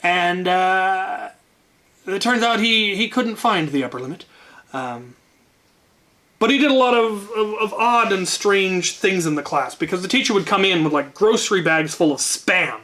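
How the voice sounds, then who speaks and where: A man's voice: high-pitched, animated, unhurried, pushed and bright. A man telling an anecdote casually as a channel host, wryly, often repeating words as he gathers his thoughts.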